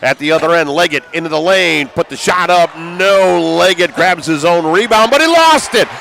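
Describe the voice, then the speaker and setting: An American man doing live basketball play-by-play as a broadcaster, excitable and raising his voice with the action. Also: on the high side, normal speed, vocal fry. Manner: excitable, calls out action with raised voice. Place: courtside in high school gymnasium. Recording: live gym broadcast with background noise.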